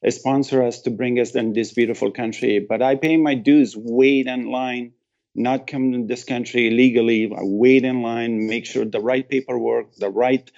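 Gravelly Afghan-accented man telling his story. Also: mid-range voice, a little mellow, lo-fi sound. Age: 50s. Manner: earnest, emphatic, repeats phrases, non-native grammar. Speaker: interview guest, actor and former military cultural advisor. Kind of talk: personal storytelling in an interview.

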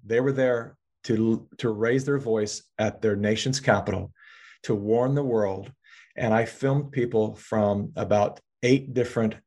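A man's voice: mid-range voice, a little mellow. A man from California, a filmmaker guest in a political interview, talking earnestly at length and often restarting his words.

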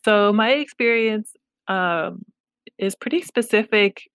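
A woman's voice: medium pitch, takes it slowly, a bit bright, clear, resonant tone, no echo, studio quality. A woman therapist from Los Angeles, a Pashto speaker, talking candidly and reflectively with self-deprecating humor in a conversational interview. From Los Angeles, United States. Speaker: therapist, guest.

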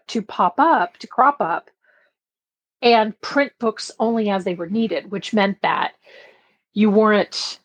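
A middle-aged woman from Belgium chatting casually as an interview guest, her voice slightly husky. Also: fairly low pitch. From grew up in Belgium. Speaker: podcast guest, publishing expert.

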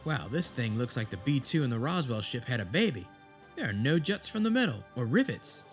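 Man playing a blunt, skeptical senator, medium pitch. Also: sing-song, a little fast, soft background music, lightly acted. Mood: awed. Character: skeptical, impatient male senator on an inspection. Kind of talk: audio drama acting.

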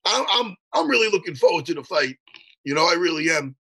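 Gravelly, husky-voiced male interview host. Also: fairly high pitch, sing-song, a little fast, pushed and bright, poor audio. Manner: enthusiastic, rambling, casual swearing. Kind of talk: casual interview chat.

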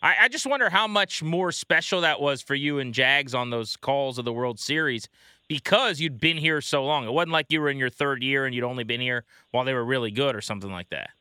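A man's voice: mid-range voice, animated, brisk, pushed and bright, clear, resonant tone, dry recording, studio quality. An American man hosting a baseball show, interviewing in a casual, conversational way.

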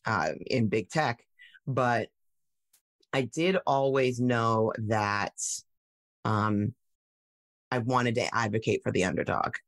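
A woman tech founder telling her story in a casual interview, animated, with many fillers and restarts. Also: extremely low pitch, slow, pauses often, dry recording. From United States.

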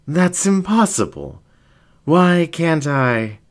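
A flamboyant fox ringmaster from an audio story, acted out by a male narrator. His voice is lifted high and swoops theatrically. He coos and draws out his words with vain, seductive flair. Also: big pitch swings. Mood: flustered. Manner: cooing, drawn-out, theatrical delivery.

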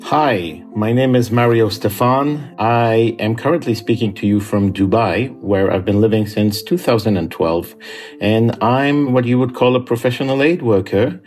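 A man from Syria and Lebanon, an aid worker, speaking calmly and thoughtfully from a quiet home office, pausing often with "uh". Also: medium pitch, soft background music. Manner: calm, thoughtful, with frequent "uh" pauses. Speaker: aid worker telling his story. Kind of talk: reflective monologue.

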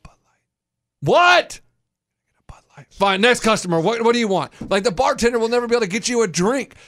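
Loud, emphatic high-voiced man. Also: expressive, no room sound. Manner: emphatic, loud, acts out quick quoted exchanges. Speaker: radio show co-host. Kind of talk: casual banter on a sports radio show.

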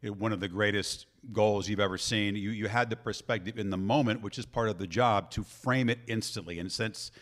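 Man, sports announcer, interview host, low voice, measured, thoughtful questions. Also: fairly even intonation, fairly bright tone. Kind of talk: interview conversation.

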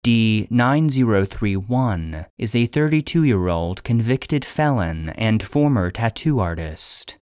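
Low-pitched man as clinical database voice, formal audio drama narration, impersonal, very dry close sound. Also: expressive, slow, hardly any pauses, a little mellow, dry recording. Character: impersonal facility database voice reading classified logs. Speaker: database narrator reading an incident log. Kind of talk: scripted narration.

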